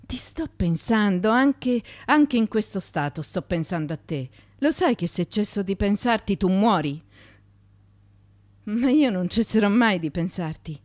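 A woman's voice: mid-range voice, expressive, a little slow, a little mellow, dry recording, lightly acted. Woman voicing an anguished, feverish young man in love. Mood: anguished longing. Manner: anguished, emphatic. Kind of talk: dramatic monologue from a literary reading.

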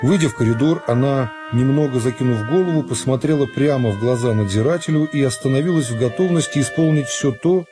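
A man reading a classic novel as a scripted radio narration, in a measured, unhurried storytelling manner. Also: medium pitch. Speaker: narrator of a literary radio serial. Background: music.

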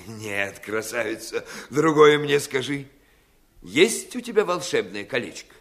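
An actor playing a menacing, mocking pirate lord in a theatrical fairy-tale radio play, wheedling and then threatening. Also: male voice, medium pitch, very animated, unhurried, vocal fry, a bit bright, exaggerated voice. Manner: wheedling turning to threats, swooping intonation.

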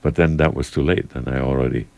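A man from Germany co-hosting a casual radio chat, halting and repeating words, laughing easily. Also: very deep voice, fairly even intonation, creaky, a little mellow. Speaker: radio co-host.

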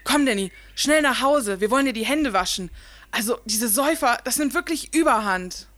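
A woman plays a prim mother in a radio drama, forever indignant and looking down on people. Her voice is fairly high and recorded very dry. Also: animated, moderate pace, a bit bright, dry recording. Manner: indignant, disdainful. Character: prim, scolding, snobbish mother of a young child. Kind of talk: radio play acting.

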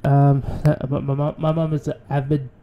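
A man's voice: mid-range voice, level tone, normal speed, highs cut off. A man with an Australian accent, hosting a radio show in a rambling, profane casual monologue with many restarts.

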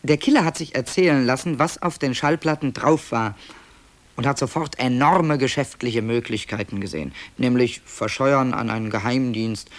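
A man's voice: medium pitch, moderate pace, lightly acted. A man playing a wry, digressive author who presents found tapes in a radio play, speaking conversationally and hesitantly in a dryly matter-of-fact way. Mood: dry, explanatory. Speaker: narrator.

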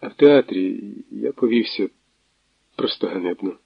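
A tired, guilt-weighed prosecutor who answers in a dim, quiet voice, as a male reader plays him. It sounds husky and dull, on a dry lo-fi recording. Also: lowish voice, moderate pace, dry recording. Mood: subdued. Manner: subdued, apologetic. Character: weary, guilt-ridden middle-aged male prosecutor.

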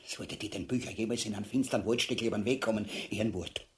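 A man's voice: on the low side, quick pace, lightly acted. An actor with an Austrian accent playing a vain, crude ex-king and captain in a farcical radio play, pompous and bumbling, repeating himself. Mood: dreamy muttering. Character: blustering, vain, crude, easily tempted ex-king and dragoon captain. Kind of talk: farcical radio play acting in broad dialect.

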